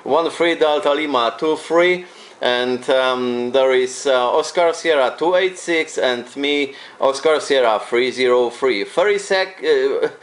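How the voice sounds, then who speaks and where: A man with a Polish accent chats as an amateur radio operator, friendly and polite, pausing and repeating phrases as he goes. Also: medium pitch. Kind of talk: casual amateur radio contact.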